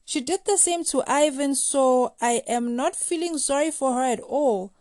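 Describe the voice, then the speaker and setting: High-voiced woman recapping reality TV. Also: moderate pace, over music. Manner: playful, gossipy commentary with slang. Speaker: host of a reality TV recap show. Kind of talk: scripted recap narration.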